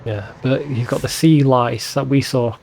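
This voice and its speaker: A man's voice: mid-range voice, fairly even intonation, measured pace, gravelly, darkish tone. A man giving informal, sweary gaming commentary as a let's play host. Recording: faint game music behind voice. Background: game soundtrack.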